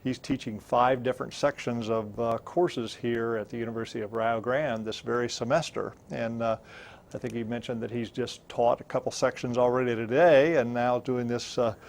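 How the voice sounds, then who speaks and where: A man with an American accent hosting a conversational interview, phrasing his questions deliberately and with some hesitation. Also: medium pitch, fairly even intonation, vocal fry, a little hiss or hum. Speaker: interview host. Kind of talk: conversational live-stream interview.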